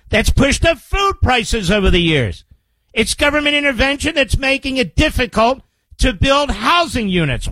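High-pitched, combative American talk radio man. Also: sharp tone, no room sound, poor audio. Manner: combative, emphatic, repeats phrases for effect. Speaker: radio talk show host. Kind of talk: political monologue on talk radio. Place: radio studio. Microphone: close studio microphone.

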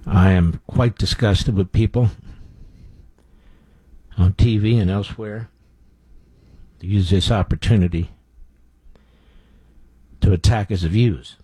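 A man's voice: fairly low pitch, fairly even intonation, takes it slowly, long pauses, dark tone, slightly rough, no room sound. A man hosting a talk radio show, giving blunt, scornful commentary with frequent fillers.

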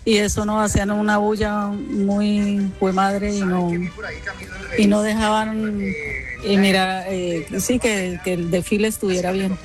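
A man calling a radio show, complaining haltingly and repeating words. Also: high-pitched, level tone, over music. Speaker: caller.

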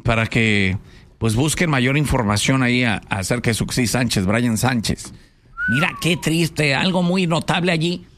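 A man's voice: medium pitch, lively intonation, normal speed, a bit bright, a little hiss or hum. A man with a Mexican accent hosting a radio show, commenting casually on news in slangy, conversational language.

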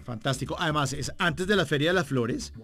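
A man with a fairly high voice and a Bogotá accent hosts a chatty broadcast interview. He is jovial and colloquial, often repeating a phrase to stress it. Some music plays along with his voice. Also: brisk, a bit bright. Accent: Bogotá Colombian. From Bogotá, Colombia. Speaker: radio show host interviewing a guest.

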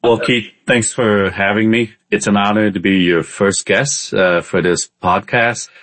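Deep-voiced man, close dry sound. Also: steady tone, unhurried, fairly bright tone, no room sound. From born in Vietnam, in the US from age ten. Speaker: podcast guest, entrepreneur. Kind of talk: casual interview storytelling.

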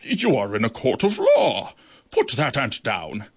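Man doing beetle judge, male, gruff, magisterial, slow, solemn, gravelly, husky. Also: medium pitch, wildly swooping pitch, heavily acted. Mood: stern.

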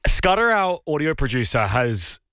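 A woman's voice: low voice, sing-song, moderate pace, fairly bright tone, dry recording. An Australian woman co-hosting a radio show, teasing callers in a playful, casual way. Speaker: radio co-host. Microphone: studio microphone.

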